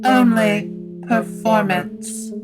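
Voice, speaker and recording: A woman playing a cold, patient ghostly widow in a supernatural audio drama, with a terse, formal, unhurried manner. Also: fairly high pitch, fairly even intonation, over music. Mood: cold, dismissive.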